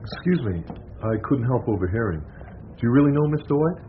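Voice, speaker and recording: A man acting as a courteous, persistent priest in a radio play. He speaks quickly and earnestly, insisting politely. The recording is narrow-band with faint noise. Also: mid-range voice, sing-song, close to an everyday voice. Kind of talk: radio drama dialogue. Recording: noisy, phone-like radio recording.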